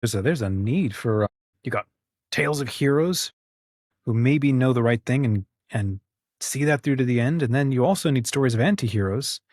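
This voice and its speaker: A man's voice: mid-range voice, expressive, normal speed, a little mellow, dry recording, clean studio sound. A man hosting a casual interview, thinking aloud a little hesitantly as he talks. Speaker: interview host.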